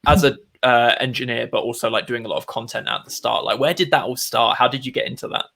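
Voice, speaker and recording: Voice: medium pitch, steady tone, quick pace, gravelly, bright, forceful tone. An interview host chatting casually in a loose, filler-heavy way that encourages the guest.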